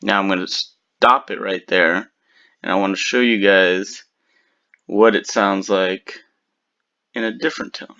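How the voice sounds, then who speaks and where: Low-voiced man giving a casual tutorial. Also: fairly even intonation, a little slow, vocal fry, long pauses, sharp tone. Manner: informal, chatty, addresses viewers as guys. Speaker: tutorial video host. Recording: slightly dull, lo-fi home recording.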